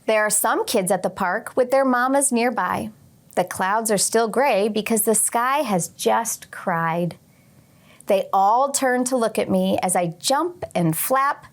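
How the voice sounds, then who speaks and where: Slow-paced woman reading to children. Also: on the high side. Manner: expressive, addresses young children directly. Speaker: teacher and read-aloud host. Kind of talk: children's picture book read-aloud with short teaching asides.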